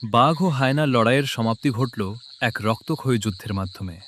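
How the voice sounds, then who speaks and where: A man's voice: medium pitch, brisk, a bit bright, slight background noise. A man narrating a scripted cartoon story in a dramatic style.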